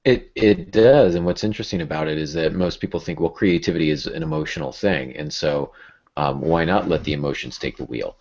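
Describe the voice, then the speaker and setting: A man with an exceptionally deep voice hosts a casual podcast discussion. He talks things through reflectively and conversationally. The recording is a little muffled and lo-fi. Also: a little fast, darkish tone. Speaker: podcast host. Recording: dull, lo-fi podcast audio.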